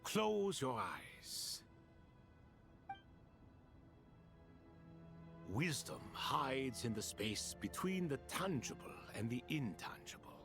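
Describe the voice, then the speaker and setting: An actor playing a cryptic ancient servant of a desert king in a video game, with a grandiloquent, deliberate delivery. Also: mid-range voice, very animated, gravelly, over music, heavily acted. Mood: sly, teasing. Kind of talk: dramatic video game voice acting.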